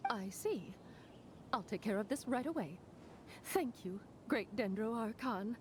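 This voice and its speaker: A deferential, helpful academy clerk: slightly high, lively and formal, over a loud game score. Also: female voice, measured pace, slight background noise. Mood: polite. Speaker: academy staff. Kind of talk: video game dialogue.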